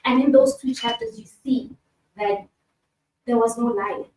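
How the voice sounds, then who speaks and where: A woman's voice: fairly high pitch, a little slow, warm tone. A woman facilitating a panel discussion, speaking in an earnest, reflective and emphatic way. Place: event hall. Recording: echoey room, distant mic, faint hum.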